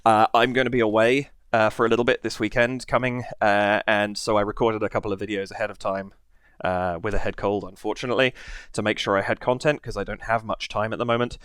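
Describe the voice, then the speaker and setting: Man with a head cold, smooth voice. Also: on the low side, level tone, quick pace, fairly bright tone, no room sound. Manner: rambling, many fillers and restarts. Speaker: reaction channel host. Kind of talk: casual to-camera chat. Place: basement home studio.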